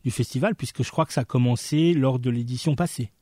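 A man hosting a radio interview, presenting in a measured, formal way. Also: mid-range voice, mellow, slightly rough, no room sound, clean studio sound.

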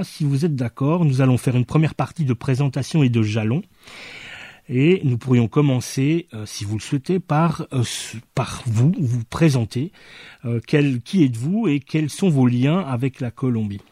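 A man hosting an interview, speaking in a measured, deliberate way. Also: medium pitch, warm tone, no room sound, studio quality. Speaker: interviewer, host. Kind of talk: hosted interview.

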